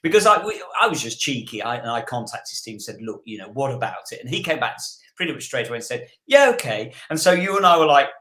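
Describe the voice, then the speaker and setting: A man's voice: mid-range voice, very animated, fast, few pauses, pushed and bright. A man chatting casually as a podcast co-host, rambling and repeating words for emphasis. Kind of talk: casual chat. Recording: roomy, echoey sound.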